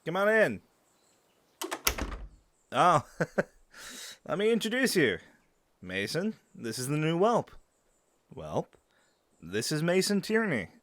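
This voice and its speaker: A man's voice: fairly high pitch, huge pitch swings, unhurried, long pauses, a bit bright, full character voice. A man acting a playful, bold young werewolf in an audio drama. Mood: teasing.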